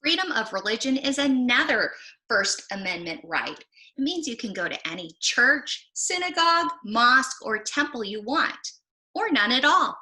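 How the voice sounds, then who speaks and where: A woman library presenter giving an expressive book talk for children, explaining and posing questions as she goes. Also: on the high side, normal speed, low-quality recording.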